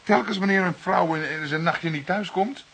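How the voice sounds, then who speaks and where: An actor playing a skeptical, outspoken junior police detective in a Dutch radio drama, argumentative and emphatic. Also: male voice, high voice, moderate pace, gravelly, fairly bright tone, breathy edge, exaggerated voice. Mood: exasperated, skeptical. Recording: hissy old radio play recording.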